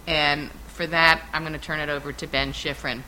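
A woman moderating a panel, loud-voiced and brisk. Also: sits low, little pitch movement, crowd noise, rough recording. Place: small intimate room.

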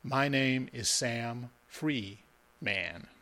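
Man as a quietly proud, deliberate freed man. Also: mid-range voice. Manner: even, deliberate, formal.

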